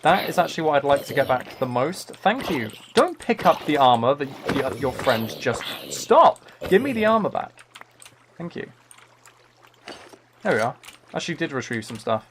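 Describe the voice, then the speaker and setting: A man's voice: a little high, sing-song, moderate pace, noisy background. A man chatting casually as he live-streams a game, thinking aloud in an easygoing running commentary. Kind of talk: casual chat while playing a game live.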